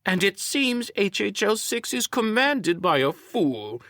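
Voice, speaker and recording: A man's voice: high-pitched, big pitch swings, slow, a bit bright, no echo, studio quality, pitched above the performer's own voice, exaggerated voice. Man acting sneering, imperious old man. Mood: smug menace. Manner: condescending, pompous.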